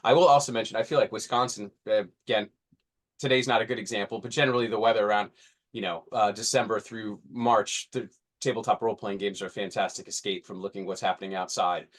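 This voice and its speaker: A man's voice: fairly low pitch, fairly even intonation, fast. A man in his forties co-hosting a podcast in casual discussion, rambling and often restarting mid-thought. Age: 40s. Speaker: podcast co-host.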